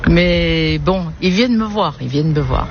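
An older woman from Toulouse with a soft Toulouse accent, answering a radio interview with frequent laughs and a habit of repeating "voilà". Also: on the low side, animated, moderate pace. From Toulouse, France. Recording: lo-fi with background noise.

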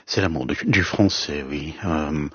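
A man in his fifties from Israel, whose first language is Hebrew, speaking as a writer and translator in an interview, in a reflective, halting way. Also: rumbling low, fairly bright tone, highs cut off, a little hiss or hum. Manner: halting, many hesitations and restarts.

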